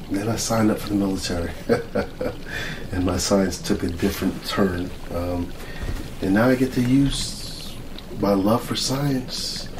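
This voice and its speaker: An earnest middle-aged military mad scientist acted by a man in an ASMR roleplay. He rambles and mutters at an unhurried, slow pace, repeating himself and easily flustered. The sound is distant and echoey. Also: fairly low pitch. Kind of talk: ASMR roleplay, improvised monologue. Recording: roomy, distant mic with clear noise.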